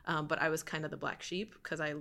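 Low-voiced woman with a gravelly edge. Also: fast talker. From Michigan, USA. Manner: relaxed, frequent fillers and laughs. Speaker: guest. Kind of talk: casual interview chat.

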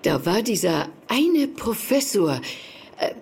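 A woman's voice: mid-range voice, big pitch swings, slow, darkish tone, a little hiss or hum. An actress playing a polite, anxious middle-aged bereaved mother in a German audio drama, speaking hesitantly. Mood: hesitant recollection.

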